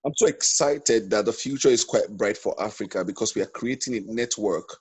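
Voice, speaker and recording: A man's voice: on the low side, brisk, darkish tone, slightly rough, no echo. A man on a video call, talking enthusiastically and repeating his phrases. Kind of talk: casual video call chat.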